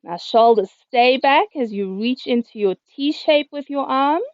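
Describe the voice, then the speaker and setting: A woman yoga teacher, a little hoarse, calmly cueing breath and movements through a class over a video call. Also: high-pitched, measured pace, dry recording. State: slightly hoarse. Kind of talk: guided yoga instruction. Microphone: computer mic on a video call. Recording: dull, lo-fi video call audio.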